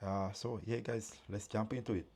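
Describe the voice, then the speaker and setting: Mellow low-voiced male channel host. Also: fairly even intonation, moderate pace. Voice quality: many fillers and restarts, non-native phrasing. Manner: loud and high-pitched when speaking outdoors. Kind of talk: casual vlog interview.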